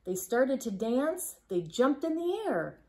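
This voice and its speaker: A woman reads a picture book to children. Her voice is on the high side and swings widely in pitch. She takes her time, stressing key words playfully. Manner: animated, deliberate phrasing with playful emphasis. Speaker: nurse reading a picture book aloud to children. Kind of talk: expressive children's storybook read-aloud. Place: living room at home, on a couch.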